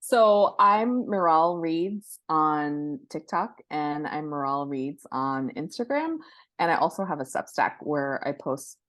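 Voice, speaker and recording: A woman with a low-pitched voice talks as a guest in a casual interview. She offers advice and small anecdotes, doubling words for emphasis. The sound is dry and close. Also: animated, slow pace, a bit bright, no room sound. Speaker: podcast guest, book marketing professional.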